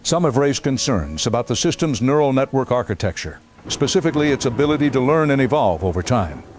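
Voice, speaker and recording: A man's voice: mid-range voice, sing-song, brisk, darkish tone, natural voice. A man playing a composed news anchor in an audio drama, putting probing questions in a formal broadcast manner. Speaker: news anchor interviewing a guest.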